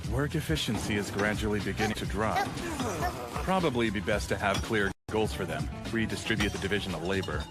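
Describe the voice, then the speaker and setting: An actor playing a greedy, gloating young boss in an animated comedy dub, theatrical, swinging between sly muttering and bellowing. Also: male voice, lowish voice, a little fast, over loud music, natural voice. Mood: scheming. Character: scheming young male boss, manic, greedy, gloating megalomaniac.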